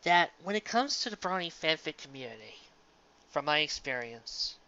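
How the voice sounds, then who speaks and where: A live reader of fan fiction giving earnest commentary to an audience, halting and emphatic, with sentimental delivery and dramatic pauses, on a dull lo-fi home recording. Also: medium pitch, very animated, vocal fry, bright, forceful tone.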